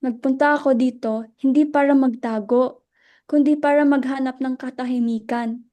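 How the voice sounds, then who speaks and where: A woman in a dramatic reading playing an anguished, worn-out wife who pleads earnestly and haltingly. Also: sits high, steady tone, warm tone, natural voice.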